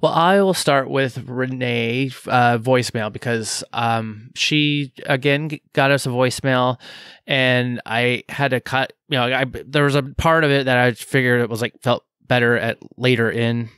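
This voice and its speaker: A man co-hosting a casual podcast, talking in halting stops and starts with lots of fillers. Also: medium pitch, steady tone, a bit bright, clean tone, dry recording. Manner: halting, many fillers and restarts. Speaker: podcast co-host.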